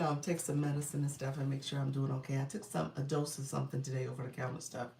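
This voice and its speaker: A woman's voice: extremely low pitch, vocal fry, dark tone, far from the mic, a little hiss or hum. A middle-aged woman with a congested voice, chatting casually on a live stream, greeting viewers by name in an upbeat way. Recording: roomy sound, from off the mic.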